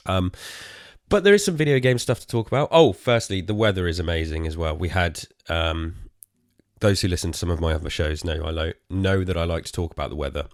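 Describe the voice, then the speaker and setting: Casting: weary English podcaster, very low voice, hoarse, rambling and self-mocking, dry close sound. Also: male voice, brisk, no room sound. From England. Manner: rambling, self-deprecating, swears casually. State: tired and hoarse. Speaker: podcast host. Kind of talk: casual solo podcast chat.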